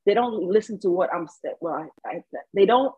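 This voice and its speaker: A woman's voice: medium pitch, normal speed, husky, no room sound, phone-quality sound. A woman basketball coach from Saint Lucia who grew up in New York, speaking with a New York accent and a light Caribbean lilt. She talks chattily in an interview, laughing often and restarting her sentences. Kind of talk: casual interview answers.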